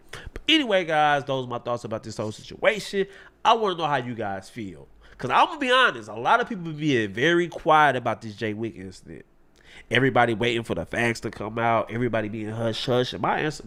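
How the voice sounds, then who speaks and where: A man's voice: mid-range voice, sing-song, brisk, a bit bright. A man in an online commentary video, talking in an emphatic, rhetorical, preachy way.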